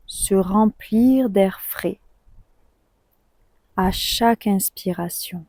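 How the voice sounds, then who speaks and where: Slow, dark-voiced woman guiding relaxation. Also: medium pitch, dry recording, rough recording. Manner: calm, soothing, unhurried with long pauses. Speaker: yoga nidra guide. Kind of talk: guided relaxation meditation.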